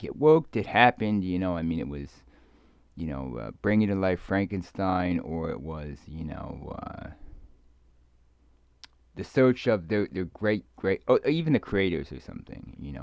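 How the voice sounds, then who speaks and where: A man rambling casually in a hesitant, halting, musing way, often trailing off as he thinks aloud. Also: deep voice, lively intonation, vocal fry, no echo. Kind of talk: rambling casual monologue, ASMR roleplay. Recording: dull lo-fi close dry recording.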